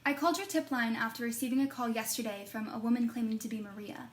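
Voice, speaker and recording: A woman's voice: on the high side, fairly even intonation, fast talker, a little hiss or hum, close to an everyday voice. A woman playing an earnest, determined investigative reporter who gets easily flustered, in a podcast-style audio drama. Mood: flustered, helpful. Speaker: actor and narrator in an audio drama. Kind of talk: podcast-style narration and naturalistic drama dialogue.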